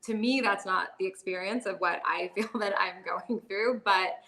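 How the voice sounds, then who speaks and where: Woman in her thirties, bright voice, casual candid chat, lots of fillers, laughs easily. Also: medium pitch, normal speed, rough recording. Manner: chatty, many "like" and "you know" fillers, laughs often. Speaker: podcast guest. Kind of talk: candid conversational storytelling.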